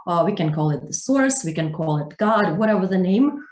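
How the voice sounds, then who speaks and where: A woman spiritual teacher answering interview questions over a video call, calm and reflective with pauses. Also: on the low side, reverberant.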